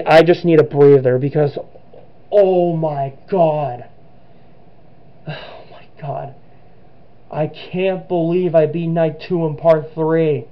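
A young man giving nervous, rambling gameplay commentary with many restarts, his voice cracking. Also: on the high side. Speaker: gamer. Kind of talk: let's play commentary. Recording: dull lo-fi sound with game music.

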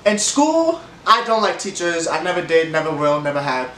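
A young man with a stuffy nose, telling a rambling casual story time to camera, animated and laughing often. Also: a little high, moderate pace, a little hiss or hum. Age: young adult. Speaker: vlogger. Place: room with leather couch, indoors. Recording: echoey room, camera a bit far.

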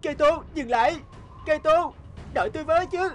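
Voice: high voice, brisk, a little hiss or hum, natural voice. An actor playing a kind, eager, brawny young village fisherman in a cartoon dub. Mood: panicked shouting. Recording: music bed behind the voice.

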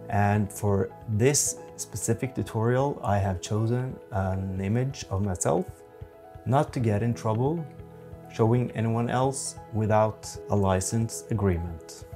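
A man in his forties with a Norwegian accent, giving a software tutorial in a methodical, halting way. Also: fairly low pitch. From Norway. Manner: slow, methodical, halting explanations. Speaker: photography instructor.

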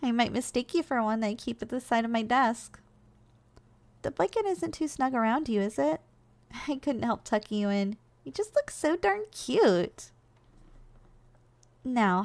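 A woman performs a kindly giantess secretary in an ASMR role-play. Her voice sits a little high, and she is soft, coaxing and nurturing, with a teasing affection for the tiny person in her care. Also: vocal fry. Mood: doting, playful, then gentle, attentive. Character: gentle, doting giantess secretary caring for her shrunken boss.

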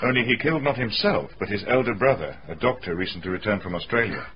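Actor as a composed inspector, expressive pitch. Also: male voice, fast talker, crowd noise, lightly acted. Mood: grave. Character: composed male police inspector delivering grim news. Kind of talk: dramatic radio acting. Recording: dull, faintly noisy radio recording.

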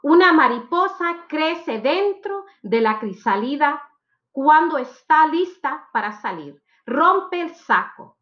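High-pitched woman reading slowly to children. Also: big pitch swings, dull audio. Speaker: teacher reading picture books aloud. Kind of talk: slow, expressive read-aloud for children.

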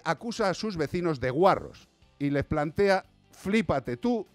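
A man's voice: fairly high pitch, no echo. A middle-aged man with a Castilian Spanish accent, hosting a radio show with a blunt, indignant and sarcastic opinion monologue. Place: small radio studio, indoors. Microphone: close studio microphone on arm.

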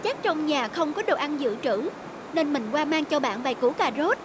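Very high-pitched childlike cartoon rabbit. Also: female voice, expressive, moderate pace, background noise. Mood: friendly. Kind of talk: animated fairy-tale dubbing.

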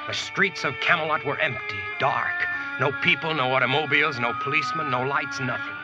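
Man acting resolute weary leader and narrator, 1940s radio play, medium pitch, music behind, dull vintage recording. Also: brisk, natural voice. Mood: grave narrating.

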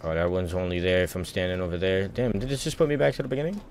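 A man's voice: sits low, rapid-fire, a little mellow. A man giving casual, slangy gaming commentary as a live streamer, muttering reactions as he plays.

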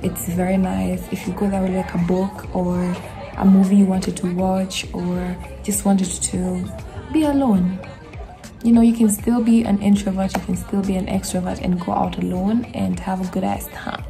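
A woman's voice: mid-range voice, moderate pace, gravelly. Chatty young Kenyan woman vlogging over music. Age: young adult. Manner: chatty, animated hand gestures, emphatic asides. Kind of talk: casual vlog review. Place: small bedroom indoors.

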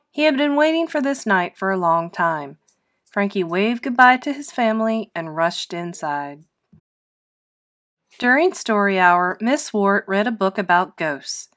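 A woman reading a children's storybook aloud, narrating expressively and deliberately. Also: mid-range voice, a bit bright. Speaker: storybook reader.

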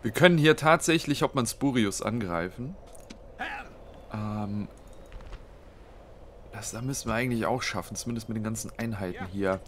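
A man's voice: on the low side, normal speed, pauses often, fairly bright tone, a little hiss or hum. A man with a cold, casually thinking aloud while playing a strategy game, with lots of fillers. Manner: thinks aloud, many fillers, plans moves step by step. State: has a cold. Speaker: let's play host. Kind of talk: casual gameplay commentary.

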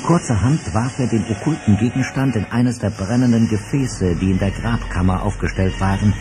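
A man's voice: on the low side, normal speed, background noise. A man narrating a children's adventure audio drama as scripted, dramatic storytelling. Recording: music bed under narration.

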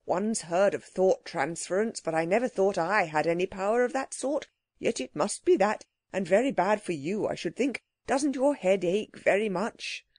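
Woman doing a kindly bewildered old scholar guy, courteous, musing, lively pitch, dry recording. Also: moderate pace, slightly rough, dry recording. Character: kindly, absent-minded learned older gentleman, mildly bewildered.

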